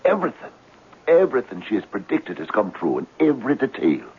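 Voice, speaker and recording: An actor playing an effusive, meddling best pal in a comic radio drama, theatrical and cajoling. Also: male voice, lowish voice, huge pitch swings, fairly quick, husky, heavily acted. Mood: awed, excited. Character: excitable, meddling best pal, a fervent believer in fate. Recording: tinny, hissy old radio broadcast.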